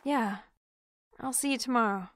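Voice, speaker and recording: A woman's voice: on the high side, moderate pace, a bit bright, no echo, close to an everyday voice. A woman playing a friendly, slightly flustered young working woman in an audio drama, polite and a little hesitant. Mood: warm.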